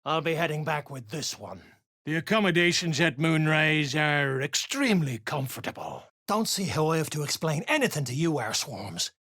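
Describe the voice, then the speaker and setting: A surly underground dwarf raider from a video game, coarse and slangy. The pitch leaps from low grumbles to sharp barks. The recording is dry and close, as a hostile underling snaps out orders and complaints. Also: expressive, moderate pace, no room sound. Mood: grumbling, surly. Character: gruff, surly duergar raider guard, rough-tongued underling. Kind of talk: video game character voice lines.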